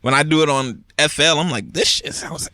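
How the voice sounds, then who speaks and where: A man's voice: a little high, normal speed, sharp tone, no echo, clean studio sound. A young man casually explaining things in a rambling way, with lots of "like" fillers. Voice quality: raspy when rapping. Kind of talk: casual chat.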